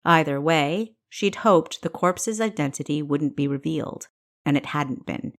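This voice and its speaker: Female, audiobook narrator, low pitch, slow pace, scripted fiction reading. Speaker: author reading own audiobook.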